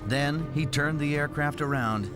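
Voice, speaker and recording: A man's voice: a little high, measured pace. A man reading scripted, dramatic documentary narration as the narrator. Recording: loud music under voice. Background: loud background music.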